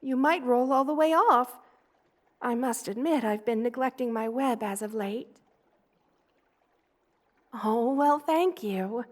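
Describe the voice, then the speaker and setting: A doting monster mother, the queen of spider folk, played by a woman, speaks in a high voice at a very slow, unhurried pace. She is tender and cooing, soothing the listener in the intimate style of an ASMR roleplay. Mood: playful, doting. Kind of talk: ASMR roleplay, personal attention.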